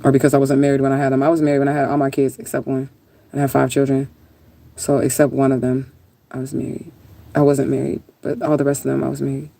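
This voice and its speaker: A woman's voice: extremely low pitch, completely flat delivery, fast talker, vocal fry, warm tone. A woman chatting casually on a livestream and answering viewers in a rambling, laid-back, sometimes profane way. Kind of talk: casual live chat, answering viewer comments.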